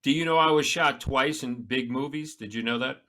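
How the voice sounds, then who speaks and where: A man's voice: medium pitch, normal speed, sharp tone. A man co-hosting a casual talk show, telling stories in a blunt, crude way. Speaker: podcast co-host, actor. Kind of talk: casual chat.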